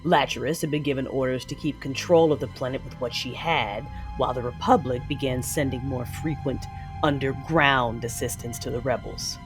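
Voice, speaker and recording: An actress playing a cold, commanding Sith lord in an audio drama, speaking in measured, menacing tones. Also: female voice, extremely low pitch. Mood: cold resolve. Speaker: narrator and character. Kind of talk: dramatic first-person audio drama narration. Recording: music under the voice.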